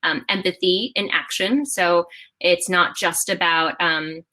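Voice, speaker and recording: A woman in her thirties, founder of a company, answering interview questions in an animated way, with many fillers and restarts. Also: fairly low pitch, measured pace. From half Japanese. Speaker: founder and CEO of a clothing brand.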